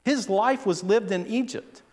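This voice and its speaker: A man delivers a sermon at a slow, deliberate pace, his voice sitting a little high. The sound rings with a long reverb from a large church. He leans into the quoted lines with dramatic weight. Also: a little mellow. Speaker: preacher.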